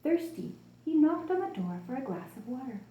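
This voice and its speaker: A woman reading a story expressively and deliberately for children. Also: high voice, roomy sound. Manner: expressive, deliberate storytelling addressed to young listeners.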